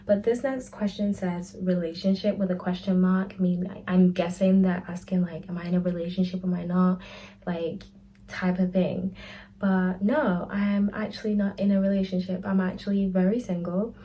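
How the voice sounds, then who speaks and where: A woman's voice: fairly low pitch, little pitch movement, moderate pace, warm tone, a little hiss or hum. A woman, chatting candidly to camera in a casual Q&A vlog, full of "like" and "type of thing". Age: 20s. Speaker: lifestyle vlogger.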